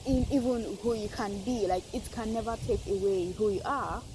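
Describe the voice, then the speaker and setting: A woman with a Nigerian accent reflecting aloud in an unscripted, hesitant way, pausing to search for words. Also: medium pitch, noisy background. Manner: thoughtful, hesitant, searching for words, soft laughs. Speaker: participant sharing reflections. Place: outdoors.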